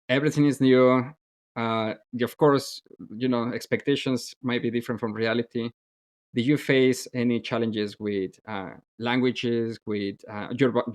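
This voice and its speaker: A man hosting a podcast interview, asking questions with enthusiastic, effusive praise. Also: mid-range voice. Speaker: podcast host.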